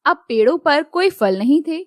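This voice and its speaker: A woman narrating a children's cartoon story in a scripted, expressive storytelling manner. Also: high-pitched, normal speed, no echo, clean studio sound.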